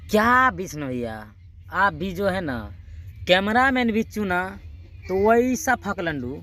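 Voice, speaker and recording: A man's voice: high-pitched, sing-song, takes it slowly, sharp tone. A man hosting a YouTube channel with comic reaction commentary, clownish and often repeating his words and phrases.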